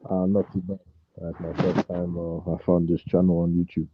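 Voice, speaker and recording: A man calling in to a show, speaking casually in a hesitant, halting way over a muffled connection. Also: low voice, monotone, husky. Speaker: caller. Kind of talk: casual call-in chat.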